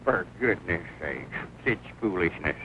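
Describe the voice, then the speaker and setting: An actor playing an earnest, opinionated rural storekeeper in 1940s film style, with a rural Southern American accent. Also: male voice, low-pitched, lively intonation, unhurried. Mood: folksy, deliberate. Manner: folksy, opinionated. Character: earnest rural small-town storekeeper, folksy self-styled sleuth. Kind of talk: 1940s film comedy-drama acting. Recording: tinny, noisy old 1940 film soundtrack.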